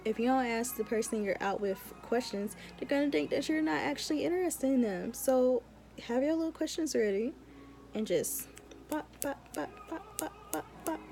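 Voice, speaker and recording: A woman's voice: high-pitched, lively intonation, normal speed, darkish tone. Casual young woman chatting vlog-style. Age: young adult. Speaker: law student vlogger.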